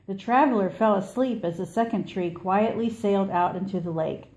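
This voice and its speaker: A woman reading a children's story aloud expressively, with measured delivery and dramatic pauses. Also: mid-range voice, darkish tone. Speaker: storybook reader.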